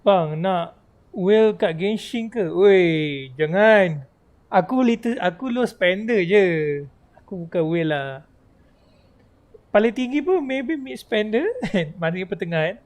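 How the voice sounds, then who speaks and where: A woman's voice: medium pitch, lively intonation, measured pace, darkish tone. A woman with a Malaysian accent, a native Malay speaker, giving casual, teasing livestream commentary on a game. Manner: informal, teasing, chuckling.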